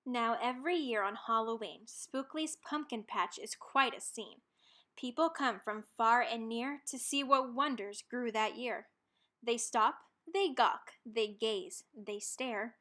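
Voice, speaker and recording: A woman's voice: fairly high pitch, unhurried, sharp tone. A woman reading a rhyming children's picture book aloud, expressively and with dramatic emphasis on key words. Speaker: storybook reader.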